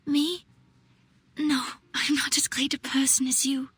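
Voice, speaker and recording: A woman voicing a gentle, self-doubting young lady artist in a dramatic game, with a soft, breathy, formal delivery. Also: high-pitched, little pitch movement, measured pace, no room sound. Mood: self-effacing.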